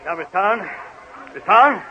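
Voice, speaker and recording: A hard-driving male film director from a 1930s radio comedy. His voice is high and animated, barking emphatic orders with impatient force. The vintage recording sounds muffled. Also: slow, very noisy. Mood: brisk, impatient.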